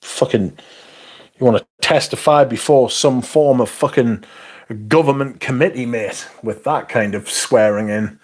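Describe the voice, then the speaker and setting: A man's voice: medium pitch, measured pace, a little mellow. A man hosting a livestream, reading out chat and bantering in a sweary, sarcastic way.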